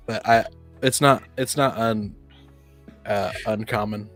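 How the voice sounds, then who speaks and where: Halting man, casual chat over music. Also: fairly low pitch, steady tone, a bit bright. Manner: halting, repeats words. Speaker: podcast participant.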